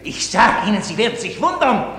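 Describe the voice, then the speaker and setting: An actor in a German radio drama playing an irritable, self-important lieutenant, bullying and insistent. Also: male voice, high-pitched, sing-song, a little fast, pushed and bright, heavily acted. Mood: menacing, exasperated. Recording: echoey hall with long reverb.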